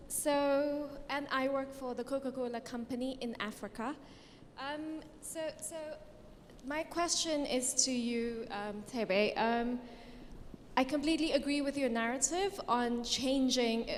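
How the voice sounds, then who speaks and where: A woman's voice: high voice, unhurried, slight background noise. A woman with a Kenyan accent, a native Swahili speaker, asking an articulate, probing question from the audience. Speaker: audience member. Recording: echoey conference hall.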